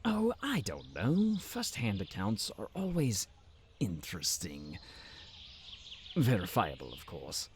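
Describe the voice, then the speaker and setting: An actor playing a pompous, conniving town advisor in a fantasy audio drama, haughty and mock-polite in a drawn-out delivery. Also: male voice, lowish voice, wildly swooping pitch, a little hiss or hum, full character voice. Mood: smug, scheming. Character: pompous, conniving male town advisor, smug and easily enraged. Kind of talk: fantasy audio roleplay acting.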